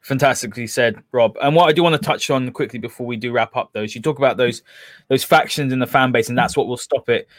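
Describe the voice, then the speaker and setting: A man's voice: medium pitch, fast talker, no echo. A man with a London accent hosting a football podcast, talking in a casual, opinionated discussion style. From London area, England.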